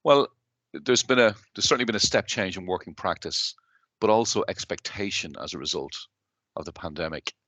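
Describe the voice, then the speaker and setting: A man with a Dublin Irish accent, in his thirties, answering interview questions in a measured, businesslike way with frequent fillers and restarts. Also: deep voice, a bit bright, no room sound. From Ireland. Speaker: guest, company chief executive.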